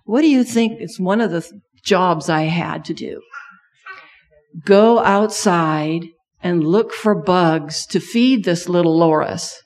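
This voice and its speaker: A middle-aged woman whose first language is Thai tells a children's sermon story at a slow, unhurried pace. She keeps stopping to ask the children questions as she goes. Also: on the low side, darkish tone. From Thailand. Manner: asks children many questions.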